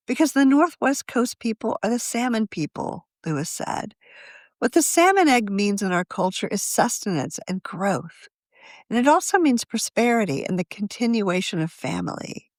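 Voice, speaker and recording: Woman, scripted article narration, mid-range pitch, animated intonation, measured journalistic delivery, very dry close recording. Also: no room sound. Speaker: magazine editor reading her own article.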